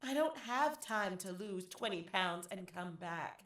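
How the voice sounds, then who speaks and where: An actress playing a wry, candid young theater graduate in a dramatic first-person audio play monologue addressed to the audience. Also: female voice, medium pitch, animated, unhurried, a bit bright, no echo. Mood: exasperated. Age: young adult. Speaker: actor narrating an audio play.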